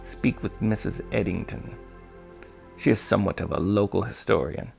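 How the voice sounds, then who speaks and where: A man voicing a kindly old male shopkeeper in an audiobook, with courteous, old-fashioned, gently formal phrasing. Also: low-pitched, moderate pace, gravelly, slightly rough, soft background music, full character voice. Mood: kindly, reassuring. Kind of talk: audiobook character voice.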